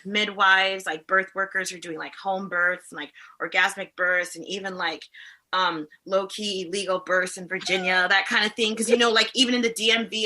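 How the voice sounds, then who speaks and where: A woman in her forties from the Caribbean talks at a loose, rambling pace as a podcast guest. Her voice is very bright and forceful, and it sits at a middle pitch. She keeps drifting into side stories. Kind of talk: casual podcast conversation.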